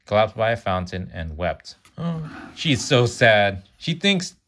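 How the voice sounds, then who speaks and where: Very low-voiced man reading a children's story aloud. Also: big pitch swings, slow, fairly bright tone. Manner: stumbles over words and corrects himself. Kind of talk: read-aloud children's story with chatty asides.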